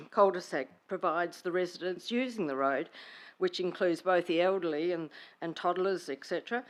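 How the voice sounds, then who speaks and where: An Australian woman with a croaky voice, reading her prepared questions to council carefully and formally. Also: fairly low pitch, rough recording. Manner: careful, slightly halting, formal. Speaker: resident asking questions at council meeting.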